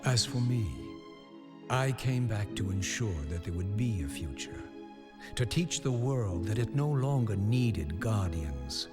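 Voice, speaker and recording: A man's voice: fairly low pitch, lively intonation. An actor playing a mysterious, wise old male prophet, narrating a game cinematic in a solemn, oracular way. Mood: solemn, serene. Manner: oracular, measured. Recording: loud music behind.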